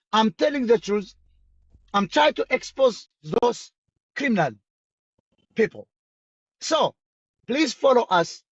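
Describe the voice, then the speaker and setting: A man's voice: sits high, no room sound, dull audio. Heated Ethiopian man ranting. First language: Amharic. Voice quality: halting, repetitive, strong-worded. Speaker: reaction stream host. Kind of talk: heated political rant.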